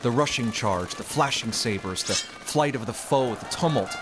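A man's voice: mid-range voice, animated, moderate pace. A man reading a literary story as narrator, in a dramatic audiobook style. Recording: music behind the voice. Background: background music.

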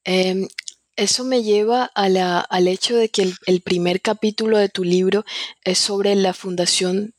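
A woman's voice: medium pitch, steady tone, unhurried, dry recording, studio quality. A woman interviewer asking conversational academic questions, building them tentatively with repeats and rephrasing.

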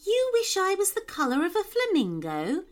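A woman voicing a gentle magical female unicorn in a children's story, mild and questioning. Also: very high-pitched, wildly swooping pitch, a little slow, voice pushed up, heavily acted. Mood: unsure.

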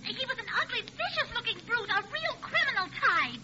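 A woman's voice: very high voice, very animated, fairly quick. A woman playing a gossipy busybody neighbour in 1940s radio comedy style. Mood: excited, gossipy. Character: shrill, gossipy busybody woman next door. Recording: muffled, very noisy old radio recording.

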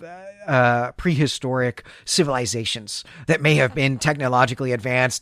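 A man's voice: mid-range voice, no room sound, clean studio sound. A man hosting a literary podcast, discussing and recapping fiction in discursive, scholarly phrasing with colloquial asides. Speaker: podcast host.

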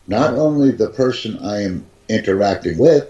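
A man teaching English conversation, speaking slowly and deliberately and repeating his key points. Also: lowish voice, expressive, warm tone, dull audio. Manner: slow, carefully enunciated, repeats key phrases. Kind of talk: slow, deliberate teaching talk.

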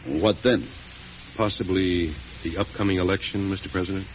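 A man's voice: deep voice, normal speed, close to an everyday voice. A man playing a devoted, deferential nephew serving the president, formal and respectful, in a 1940s radio drama. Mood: earnest, respectful. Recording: dull, hissy vintage radio recording.

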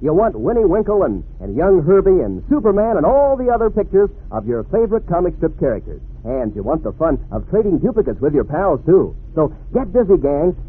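Folksy old radio ad guy talking to kids, really animated and peppy, thin tinny vintage sound. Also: sits high, a little fast, hardly any pauses, breathy edge. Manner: folksy, peppy, enthusiastic salesmanship. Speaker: radio announcer and pitchman. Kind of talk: 1940s radio advertising aimed at children. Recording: narrow-band vintage radio recording.

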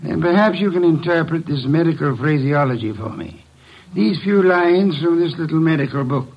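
An actor playing a commanding, fussy older genius detective with formal, precise diction, in old-time radio mystery style. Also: male voice, on the high side, expressive, normal speed. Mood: imperious, probing. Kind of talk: 1940s-50s radio mystery acting. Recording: dull old radio recording.

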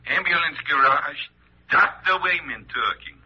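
An American actor playing a slow-witted, cocky working-class ambulance driver with a folksy, stammering way of talking, in radio drama style. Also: male voice, fairly high pitch, lively intonation, slightly rough, exaggerated voice. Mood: flustered. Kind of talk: 1940s-50s radio drama acting. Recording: muffled old radio broadcast.

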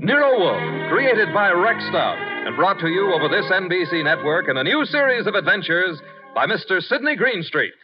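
A man's voice: sits high, very animated, normal speed, over loud music. An American man announcing and narrating in a 1950s network radio style. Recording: dull vintage radio broadcast recording.

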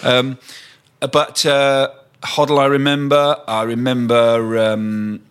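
A man, a podcast guest recalling old memories in a halting, thoughtful way. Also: medium pitch, level tone, a bit bright, clean tone. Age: 60s. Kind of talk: casual reminiscing chat.

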